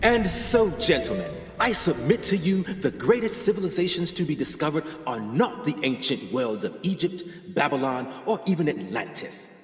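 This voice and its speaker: An actor playing a proud, passionate 1920s explorer and scholar in a radio play, speaking in oratorical style. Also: male voice, a little high, very animated, normal speed, few pauses, soft background music. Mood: grand, persuasive. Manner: declamatory, oratorical. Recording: echoey hall with long reverb.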